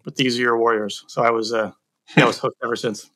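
A man hosting an interview podcast, upbeat and chatty, often pausing on fillers and restarts. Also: medium pitch, little pitch movement, fairly bright tone. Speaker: podcast host. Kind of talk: casual interview chat.

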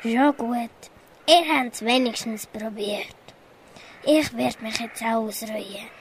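A woman's voice: on the high side, slow pace, slight background noise, rough recording. A schoolgirl with a Swiss German accent playing a tired, polite male lion in a children's radio play. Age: child or young teen pupil. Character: male lion Leo, tired, polite, losing his mane.

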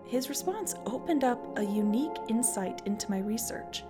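A woman podcast host giving measured scripted narration and reading a poem aloud. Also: a little high, lively intonation, over music.

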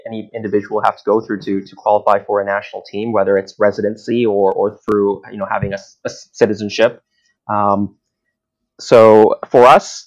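A man from Hong Kong, in his thirties, talking casually as an interview guest, with many fillers and restarts. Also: on the low side. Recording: dull lo-fi audio.

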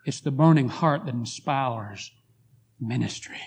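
Hoarse man preaching a revival sermon. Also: medium pitch, a little slow. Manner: rising to fervent pleas, then quiet prayer. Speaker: guest preacher. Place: church sanctuary.

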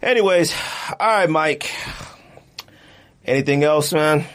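A man hosting a podcast in casual, slangy chat, talking in an animated way and repeating himself for emphasis. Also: fairly high pitch, takes it slowly. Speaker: podcast host. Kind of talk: casual chat, friendly debate.

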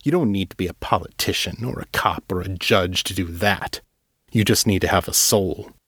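A man voicing a weary, gruff old man who speaks gravely and bitterly, in an audiobook read by its author. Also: low-pitched, moderate pace, a bit bright, no echo, studio quality. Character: weary old male street elder, gruff.

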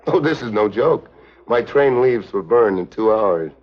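Low, deadpan American tough guy. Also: measured pace. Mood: cool, sardonic. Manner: clipped, deadpan, sardonic. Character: tough, wry American secret agent, cool and sardonic. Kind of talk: 1950s hard-boiled spy drama acting and narration. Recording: dull 1950s TV film soundtrack.

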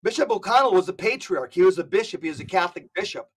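A man's voice: high-pitched, lively intonation, fast, husky. A man talking on a podcast, emphatic and often repeating words as he gathers his thoughts. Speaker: podcast co-host. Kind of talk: casual podcast conversation.

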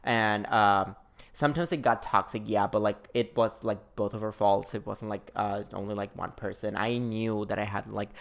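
A young man from Chile, a podcast guest, talking casually and haltingly with many fillers. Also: lowish voice, fairly even intonation, creaky, fairly bright tone. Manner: halting, filler-heavy, laughing easily. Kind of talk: casual chat.

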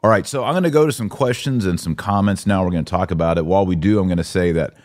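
A man's voice: low voice, fast, darkish tone. An American man hosting a podcast, giving news commentary in an informal, conversational and opinionated way. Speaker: podcast host. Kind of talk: live news commentary to an audience.

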